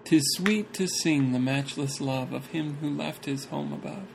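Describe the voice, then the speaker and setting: A man's voice: fairly high pitch, unhurried, creaky, a little hiss or hum, rough recording. A man reading a church talk aloud and chatting casually about it, breaking into jokes and laughter between passages.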